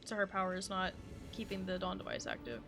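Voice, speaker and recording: Creaky-voiced woman streaming a game. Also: mid-range voice, expressive, fast talker, over music. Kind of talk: casual livestream, reading game dialogue aloud.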